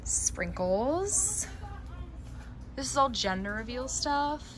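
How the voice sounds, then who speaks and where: A young woman chatting bubbly and fast to her handheld camera while shopping in a mall and candy store. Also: high-pitched, sing-song. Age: young adult. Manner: bubbly, chatty, quick gasps and laughs. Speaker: vlogger. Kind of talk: casual shopping vlog. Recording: noisy mall ambience.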